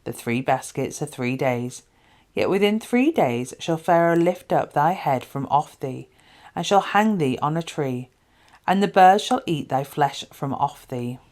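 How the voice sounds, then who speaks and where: A woman reading the part of a humble, earnest young male prisoner who interprets dreams, solemn and measured, in a scripture story. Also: sits low, animated, darkish tone.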